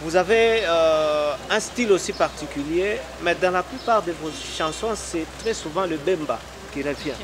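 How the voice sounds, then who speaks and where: A male talk show host speaks in a fairly high voice. He greets viewers and asks questions with enthusiasm, stretching out his hesitations. The recording carries a clear layer of noise. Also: moderate pace, few pauses. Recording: noisy broadcast recording.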